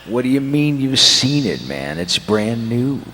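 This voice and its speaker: A man's voice: medium pitch, lively intonation, measured pace, sharp tone, a little hiss or hum. A man performing in a live comedic script reading, delivering narration and parts on a convention stage. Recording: echoey convention hall.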